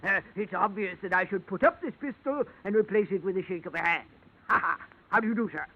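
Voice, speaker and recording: A man's voice: high voice, brisk. An actor playing a courtly, shifty ship-salvage man in a 1940s radio detective drama, speaking in florid, mock-polite, orotund phrases. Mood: affable. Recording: dull, band-limited old radio recording.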